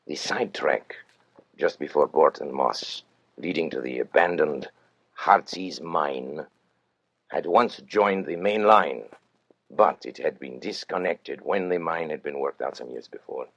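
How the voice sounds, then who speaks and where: An actor playing a cold, calculating criminal mastermind confessing in an old-time radio suspense drama, deliberate, formal and theatrical, with a husky voice. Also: male voice, rumbling low, lively intonation, fairly bright tone, no echo, exaggerated voice. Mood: coolly gloating.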